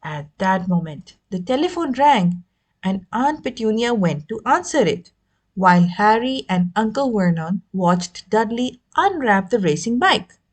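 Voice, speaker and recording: A woman reading a children's novel aloud as an expressive narrator. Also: mid-range voice, measured pace. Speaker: audiobook reader. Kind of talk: expressive scripted story narration.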